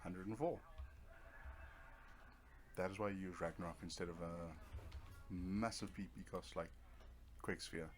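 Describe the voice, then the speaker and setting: A man's voice: low-pitched, fairly even intonation, a little slow, pauses often. A man giving casual let's play commentary on a video game, explaining tactics step by step in an offhand way.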